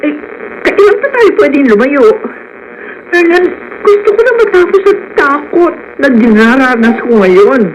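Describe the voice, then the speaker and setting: Frightened woman, swooping pitch. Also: lively intonation, measured pace, rough recording. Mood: fearful. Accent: Filipino. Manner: fretful, hesitant. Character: frightened, guilt-ridden woman accomplice, anxious and wavering. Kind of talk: Filipino radio drama acting.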